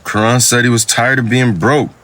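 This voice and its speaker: Casting: actor for battered young boyfriend, average pitch, tired and low-key, holding something back, audio drama. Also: male voice, fairly even intonation, moderate pace, pushed and bright, close to an everyday voice. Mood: hesitant, confessing. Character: Caleb, young man, beaten boyfriend, weary and guarded.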